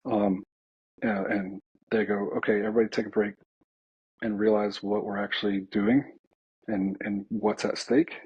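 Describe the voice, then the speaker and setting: Low-voiced American man, slightly dull sound. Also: flat delivery, moderate pace, long pauses, husky, no echo. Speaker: air traffic controller, podcast guest. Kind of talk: casual podcast conversation.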